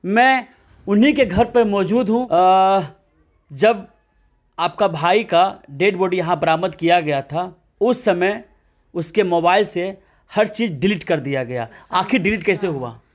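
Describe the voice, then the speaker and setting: A man's voice: high-pitched, a little slow. A man reporting from the field, speaking to viewers in an emphatic, dramatic way full of rhetorical questions. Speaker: news reporter. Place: at a family's home.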